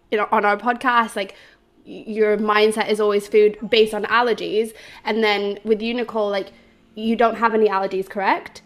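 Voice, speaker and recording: Chatty woman podcast co-host, fairly high voice. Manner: chatty, full of "like" and "you know". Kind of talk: casual podcast chat.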